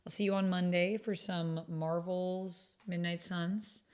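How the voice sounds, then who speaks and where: Woman, slightly low voice, livestream host, casual chat, informal, talking directly to viewers. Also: steady tone, unhurried, darkish tone, clear, resonant tone. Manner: chatty, informal, addresses viewers by name.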